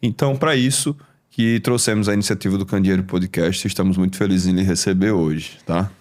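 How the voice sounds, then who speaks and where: A man hosts a podcast in a very low voice, talking quickly. He is formal and welcoming, moving briskly through the introduction. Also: darkish tone. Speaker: podcast host, foundation superintendent. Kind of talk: podcast hosting.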